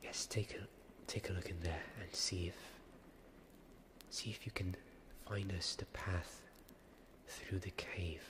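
Male actor as young knight, anxious and wary, low voice, hushed halting delivery, slow, ASMR roleplay. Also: fairly even intonation, rough recording. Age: young adult. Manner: hushed, halting, breathy whispering.

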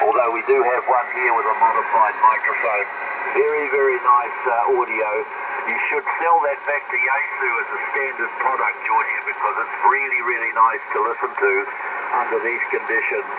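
A New man chats as a ham operator at a middle pitch. He comes over a shortwave radio, buried in very loud noise. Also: fairly even intonation, normal speed. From New Zealand. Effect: heard over shortwave radio. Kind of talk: ham radio contact, conversational. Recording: very noisy long-distance radio reception.

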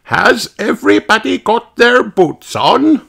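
A man's voice: high-pitched, sing-song, slow pace, gravelly, fairly bright tone, voice pushed up, exaggerated voice. A man voicing an enthusiastic female gazelle schoolteacher in a children's storybook. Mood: rallying. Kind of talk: children's storybook read-aloud.

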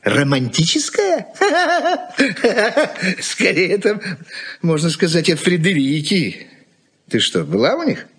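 A touchy, practical middle-aged husband in a 1980s Soviet radio play. The voice is rough and husky with very animated intonation, delivering curt, sardonic grumbles. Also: male voice, high-pitched, takes it slowly, long pauses, heavily acted.

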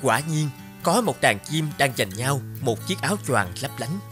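A man's voice: medium pitch, animated, a little slow, music in the background. A man narrating a scripted fairy tale.

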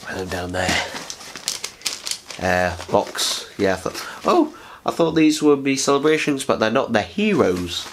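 A man's voice: on the low side, lively intonation, a little slow. A young man with a head cold, his voice stuffy and nasal as he rambles through a late-night unboxing. He sits close to the camera in a living room, chatty and self-mocking, and a faint hiss sits under the voice. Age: young adult. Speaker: vlogger. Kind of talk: casual unboxing chat. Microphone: camera on a tripod, sitting close.

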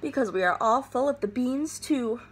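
A woman's voice: high-pitched, lively intonation, moderate pace, a little hiss or hum. Woman doing a tiny laid-back little dog. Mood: chatty. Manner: casual, slangy.

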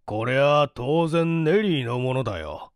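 A man voicing a firm older uncle in a storybook, speaking in a blunt, measured, familiar manner. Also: medium pitch, expressive, no echo, studio quality, lightly acted.

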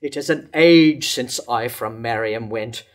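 A man's voice: mid-range voice, a little slow, lightly acted. A man in his sixties reading the part of a lovestruck king in a staged verse tragedy. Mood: exultant, longing. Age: 60s. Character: lovestruck king hailing his city and queen. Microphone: lectern microphone.

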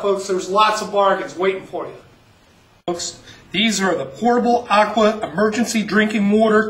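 A man with a rather high voice does a folksy sales pitch for an upcoming auction, calling his listeners folks as he goes. He sits somewhat off the mic. The room around him rings and sounds roomy. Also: a bit bright, recorded from a distance.

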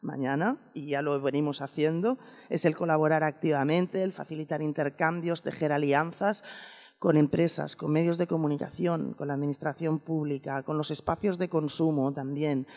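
A woman's voice: sits low, steady tone, moderate pace, darkish tone. A woman with a Spanish accent giving a prepared talk at a conference panel, earnest, with repeated words. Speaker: panel speaker. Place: conference hall stage. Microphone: table microphone. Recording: echoey conference hall.

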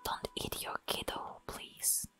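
Woman, ASMR gamer; airy whisper throughout, bright and crisp, playful and giggly, unhurried. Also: soft background music. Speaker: gamer playing a barista game. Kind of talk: whispered ASMR gameplay commentary.

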